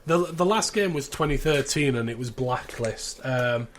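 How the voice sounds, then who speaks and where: A man's voice: medium pitch, lively intonation, moderate pace, a bit bright. A man hosting a casual panel chat about a show. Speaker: podcast host. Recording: clear background noise.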